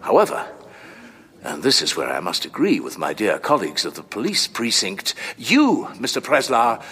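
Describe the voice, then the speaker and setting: An actor playing a courteous, long-winded, analytical doctor in a formal, measured audio drama performance. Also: male voice, fairly low pitch, huge pitch swings, sharp tone, breathy edge, big-room sound, full character voice. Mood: analytical, deliberate. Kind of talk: full-cast audio drama acting.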